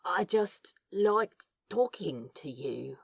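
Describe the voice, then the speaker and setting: Husky, low-voiced lovestruck man played by a woman. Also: lively intonation, full character voice. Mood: earnest, hesitant. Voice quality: husky, breathy. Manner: halting, rambling, swearing. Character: awkward, lovestruck, foul-mouthed man, self-deprecating, nervously sincere. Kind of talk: fan fiction audio drama acting.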